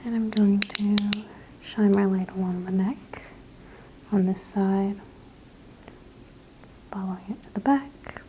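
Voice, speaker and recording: A woman whispering gently in an ASMR spa roleplay, softly and slowly describing each thing she does in a breathy voice. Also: mid-range voice, fairly even intonation, slight background noise, low-quality recording. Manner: slow, gentle, step-by-step narration of actions. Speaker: ASMR performer playing a spa practitioner.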